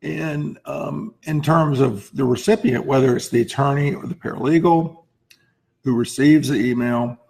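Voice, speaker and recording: Hoarse man with a gravelly, creaky voice. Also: mid-range voice, moderate pace, a little mellow. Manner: frequent throat clearing. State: hoarse voice from laryngitis. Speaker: lawyer hosting a firm's advice segment. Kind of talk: informal explanatory talk to camera.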